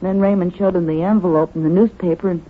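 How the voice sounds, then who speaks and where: Woman acting a husky, discontented scheming wife. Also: fairly low pitch, steady tone, fast talker, close to an everyday voice. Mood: bitter, worried. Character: discontented, scheming wife trapped by a cruel husband. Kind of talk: 1940s radio drama acting. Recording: muffled, tinny old radio recording.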